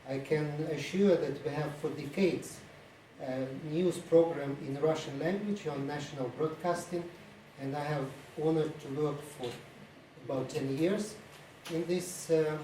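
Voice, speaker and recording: A man speaking formal, non-native English at a conference. His voice is mellow and a little high, and he proceeds haltingly with many hesitations. It is picked up from a distance in an echoey room. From Ukraine-born, lived mostly in Estonia. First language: not English. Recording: echoey room, distant mic, faint noise.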